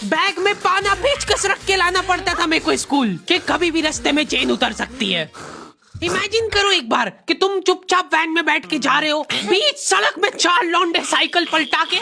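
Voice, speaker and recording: A man narrating a comic first-person cartoon story in an exaggerated way, his voice sounding artificially pitched up. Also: lively intonation, normal speed, gravelly, bright, forceful tone. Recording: faint background music.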